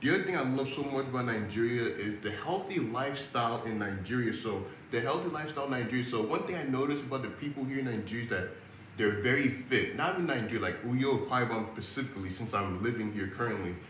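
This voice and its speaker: A young man from Houston, Texas, speaking in a relaxed, earnest way straight to camera in a casual vlog, calling his viewers y'all. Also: quick pace. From Houston, Texas, United States. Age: young adult. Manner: relaxed and earnest, addressing viewers as y'all, gets animated with rising pitch. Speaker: vlogger. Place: indoor room with a fan running, seated on a sofa. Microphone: built-in camera mic at a short distance. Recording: echoey room, faint fan hum.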